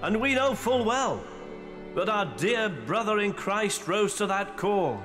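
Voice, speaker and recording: An actor playing a solemn clergyman who delivers a measured, oratorical memorial address in a radio drama. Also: sits high, very animated. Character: solemn male clergyman eulogising the dead. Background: music.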